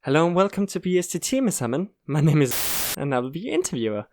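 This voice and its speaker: A woman's voice: low voice, fast talker, background noise. A woman playing a polite, encouraging school interviewer in an audio drama, formal yet reassuring. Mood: kindly, encouraging. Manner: formal but reassuring, expressive.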